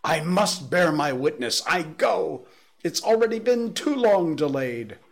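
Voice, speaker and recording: A man voicing a frantic, half-mad curate who rants and wails about judgment, with a husky voice. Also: mid-range voice, measured pace, a bit bright, voice pushed up, full character voice. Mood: raving. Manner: ranting, wailing, pleading. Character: frantic male curate preaching doom.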